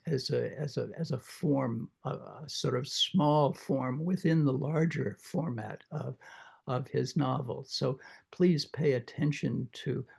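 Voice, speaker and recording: An older man with a croaky voice after throat surgery, lecturing informally to a reading group and pausing often with many uhs and restarts. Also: medium pitch, mellow. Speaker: literature professor leading a reading group.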